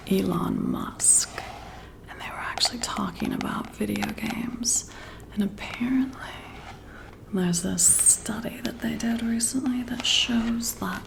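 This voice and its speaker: Woman, soft whispered ASMR, heavy vocal fry, casual musing chat, intimate. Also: medium pitch. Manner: slow, hushed, intimate, musing. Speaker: ASMR roleplay performer.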